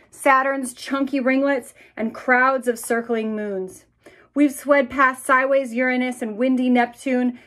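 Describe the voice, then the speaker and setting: A woman in her thirties with a fairly high voice presents and reads picture books for children. Her delivery is expressive and addresses the young listeners directly, recorded at arm's length in a small room. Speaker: children's author reading aloud. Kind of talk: picture book read-aloud for children.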